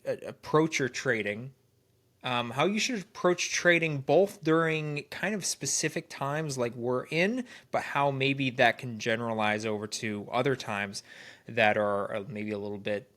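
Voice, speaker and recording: A man's voice: medium pitch, unhurried, fairly bright tone. A man co-hosting a trading podcast, sounding nasal and congested from a cold, who often restarts phrases as he talks. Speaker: podcast co-host. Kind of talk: casual podcast chat.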